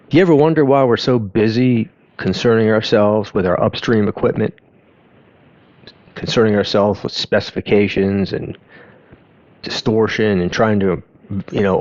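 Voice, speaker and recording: Low-voiced man explaining, dull dry recording. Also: darkish tone, dry recording. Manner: halting, conversational, self-interrupting. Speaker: host of an audio education channel. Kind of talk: informal explainer talk over on-screen graphs.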